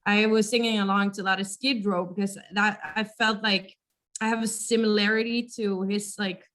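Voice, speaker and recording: A young woman with a naturally raspy voice, a rock singer chatting casually and hesitantly as an interview guest. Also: mid-range voice, steady tone, normal speed, a bit bright. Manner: casual, hesitant, laughs often. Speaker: band vocalist, interview guest.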